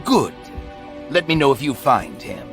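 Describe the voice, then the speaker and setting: A man's voice: medium pitch, big pitch swings, measured pace. An actor playing a sly, patronizing, theatrical man at an eerie manor in a video game, with a sardonic manner. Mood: firm, probing. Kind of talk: video game cutscene acting. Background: loud music.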